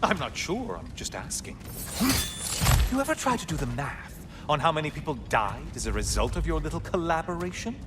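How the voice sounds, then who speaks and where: An actor playing a gleeful, mocking tormentor in a video game, chatty, theatrical and sarcastic with mock innocence. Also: male voice, on the high side, very animated, fairly quick, noisy background. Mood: needling. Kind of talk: dramatic video game acting.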